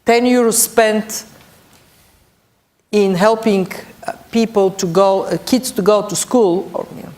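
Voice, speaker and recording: A middle-aged woman from Bulgaria speaks English with a clear Bulgarian accent. Her pitch sits in the middle range. She talks as a panelist, laying out numbered points and leaning on the key words. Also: steady tone, measured pace, darkish tone. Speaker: panelist, European budget official. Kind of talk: panel discussion remarks.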